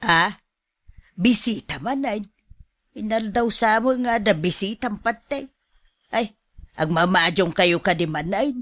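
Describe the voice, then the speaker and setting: A long-suffering housewife acted by a woman in an Ilocano radio drama, plaintive and full of sighs. She has an Ilocano accent and draws her words out slowly, in a very dry, close recording. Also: medium pitch, expressive, fairly bright tone, dry recording. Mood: protesting. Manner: plaintive, sighing. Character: weary, put-upon housewife, long-suffering and complaining.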